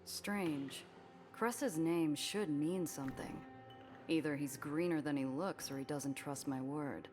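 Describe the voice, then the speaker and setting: A woman's voice: low voice, slow pace, music in the background. An actress playing a tough, world-weary smuggler woman in a 1930s noir game, wry and clipped. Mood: cool, watchful.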